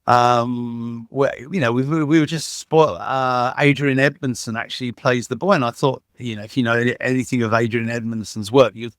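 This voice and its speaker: A man's voice: medium pitch, steady tone, fairly quick, hardly any pauses, fairly bright tone, no room sound. Rambling man telling stories, dry sound. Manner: rambling, enthusiastic storytelling with many restarts and fillers. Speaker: guest, sound engineer and dubbing supervisor. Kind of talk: casual interview conversation.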